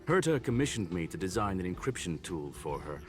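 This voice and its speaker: A man voicing a learned mechanical gentleman in a video game, formal, calm and eloquent. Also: deep voice, brisk. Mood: calm, musing. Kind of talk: scripted video game dialogue. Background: game music.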